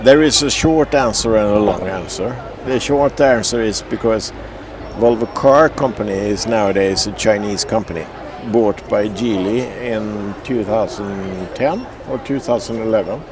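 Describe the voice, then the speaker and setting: A man from Sweden answering a street interview with measured, orderly explanations. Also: lowish voice. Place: busy city street outdoors. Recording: busy street crowd behind.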